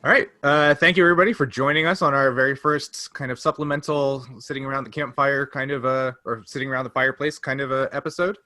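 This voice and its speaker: A man hosts a relaxed podcast in a bright, crisp-edged voice. He explains in a loose, hedging way, and the sound is dry, with hardly any room on it. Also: medium pitch, fast, hardly any pauses, no echo. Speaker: podcast host. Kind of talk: casual podcast discussion.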